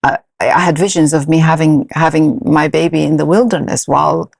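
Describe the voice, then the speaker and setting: A middle-aged woman from Iraq whose native language is Arabic, telling her own story as an interview guest in a halting, measured way. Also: low-pitched, fairly even intonation, creaky, breathy edge, dry recording, studio quality. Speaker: podcast guest, senior lecturer.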